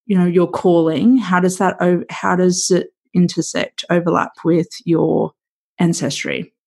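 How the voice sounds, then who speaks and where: A woman hosting an interview, asking questions in a halting way and rephrasing as she goes. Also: lowish voice, fairly even intonation, vocal fry, darkish tone. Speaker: podcast host.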